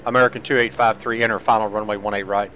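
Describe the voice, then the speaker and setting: A man's voice: fairly low pitch, fast talker, rough recording. A man streaming a game, playing air traffic controller with procedural calls and offhand commentary. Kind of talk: gameplay commentary and radio-style controller calls. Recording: steady background noise from game ambience.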